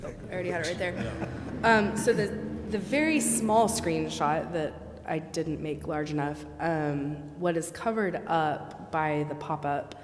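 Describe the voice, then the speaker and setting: A woman on city staff explaining things informally at a council meeting. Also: deep voice. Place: council chamber. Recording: echoey council chamber with noise.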